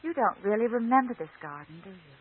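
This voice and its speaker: A doubtful young sweetheart in vintage radio drama. Her voice is breathy, tentative and wistful. It comes through a thin, tinny old recording. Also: mid-range voice, animated, fast talker. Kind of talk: 1940s radio acting.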